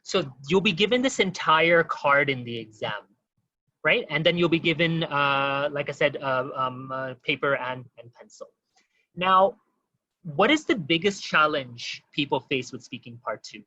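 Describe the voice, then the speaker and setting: A man runs an online exam-prep webinar, moving quickly through his points and talking to viewers in a casual way with plenty of fillers. His voice is bright and sits a little high. The sound is dry and dull, like low-grade webinar audio. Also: expressive, dry recording. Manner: quick, informal, addresses audience as guys, many fillers.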